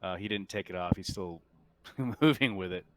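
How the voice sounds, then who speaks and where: A man improvising narration as game master of a tabletop game over group voice chat, halting and often restarting his phrases. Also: deep voice, crowd noise.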